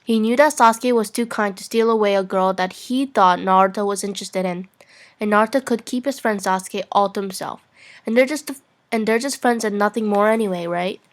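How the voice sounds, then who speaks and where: A woman's voice: mid-range voice, a little fast. Young woman reading fan fiction aloud. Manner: playful, stumbling over lines, giggly. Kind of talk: amateur dramatic reading of a story.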